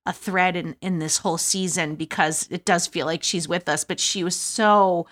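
A woman co-hosting a podcast, chatting casually and offering encouragement and advice in an animated way. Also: lowish voice, normal speed. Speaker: podcast co-host. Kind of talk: casual conversation.

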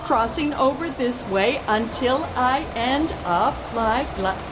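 An actress playing a self-important, enthusiastic performer in an audio drama. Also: female voice, a little high, lively intonation, takes it slowly. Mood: self-important, eager. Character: pretentious, eager performer planning a hokum piece. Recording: noisy ambience with vehicle.

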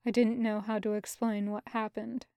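A woman voicing a guilty, subdued man who keeps a calm facade, soft and apologetic, in an audiobook. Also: mid-range voice, normal speed, dry recording, lightly acted. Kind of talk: audiobook character acting.

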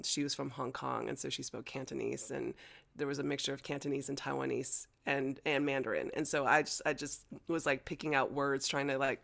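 Gravelly-voiced chatty American speaker. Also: medium pitch, a bit bright. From United States. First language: Chinese. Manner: chatty, many fillers, laughs easily. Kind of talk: casual conversation.

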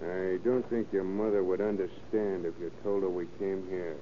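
Male actor as evasive American ex-con father, gruff and slangy, lower register, faintly hissy vintage radio crime serial. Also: fairly even intonation, normal speed, lightly acted. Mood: breezy, cynical. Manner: slangy, curt tough-guy talk. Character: shifty ex-convict father, gruff and evasive crook. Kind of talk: 1930s radio crime drama acting. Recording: muffled, noisy old radio recording.

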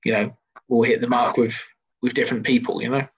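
Husky, self-mocking man. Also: mid-range voice, fairly even intonation, fairly quick, muffled recording. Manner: self-deprecating, jokey, rambling with restarts. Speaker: podcast guest, singer and comedian. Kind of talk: casual chat.

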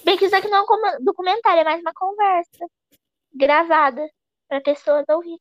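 A woman's voice: very high voice, a little fast, dry recording. A young woman in casual podcast chat, talking in a chatty, rambling way. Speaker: podcast guest. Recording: dry, dull lo-fi recording.

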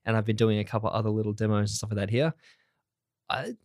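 Soft-spoken man hosting a podcast. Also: fairly low pitch, quick pace, no room sound, clean studio sound. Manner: soft-voiced, relaxed and swearing casually. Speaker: podcast host. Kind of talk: casual podcast chat. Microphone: studio mic in a home-built voiceover booth.

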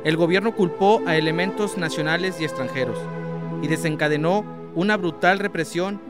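High-pitched male narrator over music. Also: moderate pace. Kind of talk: scripted documentary narration for radio drama.

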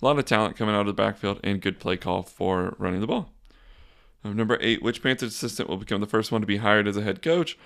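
A young man hosting a casual solo sports podcast, talking in a flat, monotone, rambling way. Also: on the low side, quick pace, vocal fry. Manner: monotone, says so himself; relaxed, rambling with fillers and restarts. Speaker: podcast host.

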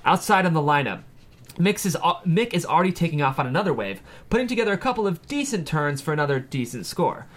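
A man's voice: fairly high pitch, big pitch swings, fast. A man reading screenplay stage directions aloud at a table read, with dramatic scripted narration.

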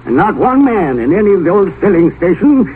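An actor playing a brash, blustering, blunt and boastful American oil tycoon in a 1950s radio drama. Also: male voice, sits high, very animated, moderate pace. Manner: blunt, boastful, anti-intellectual. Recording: narrow-band, noisy vintage radio broadcast.

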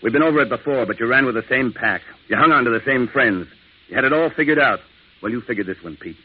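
A man's voice: mid-range voice, lively intonation, quick pace, slightly rough. A man playing a stern, bitter American priest in an old radio drama, curt and accusing. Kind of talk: 1940s-50s radio drama acting. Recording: thin, muffled vintage radio broadcast.

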